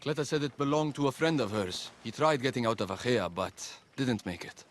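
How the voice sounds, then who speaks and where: An actor playing a guarded but gentle young fugitive warrior in a video game, earnest and understated. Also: male voice, mid-range voice, fairly quick, close to an everyday voice. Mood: wistful. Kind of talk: dramatic video game cutscene acting.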